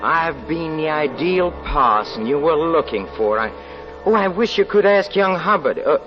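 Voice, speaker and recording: A man's voice: on the high side, animated, natural voice. Man acting a smug criminal parson, dull old radio. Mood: gloating, excited. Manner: theatrical, halting, repeats words. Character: smug, cunning male criminal posing as a saintly parson. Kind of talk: 1940s radio drama acting. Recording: dull 1940s radio recording with music.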